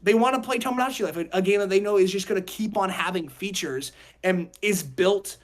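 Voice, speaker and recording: A man's voice: high-pitched, a little fast. A young man talking casually and emphatically on a podcast, rambling through his opinions in slangy speech. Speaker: podcast host.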